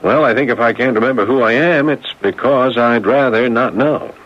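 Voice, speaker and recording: A man acting in a radio drama as a troubled amnesiac drifter, polite and halting, with an American accent. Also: medium pitch, close to an everyday voice. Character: troubled amnesiac drifter, well-mannered, hesitant, grateful, haunted. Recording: dull, noisy old radio broadcast.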